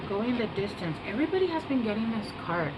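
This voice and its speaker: A woman giving a casual tarot reading to camera, soft-spoken and meandering, with many pauses and fillers. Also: mid-range voice, sing-song. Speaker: tarot reader. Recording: home recording with air-conditioner hum.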